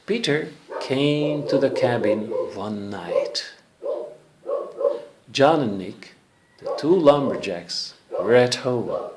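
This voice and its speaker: A male English teacher slowly telling a folktale in simple English for learners, pausing to check in with listeners. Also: medium pitch, animated, background noise. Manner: slow, deliberate, checks in with listeners.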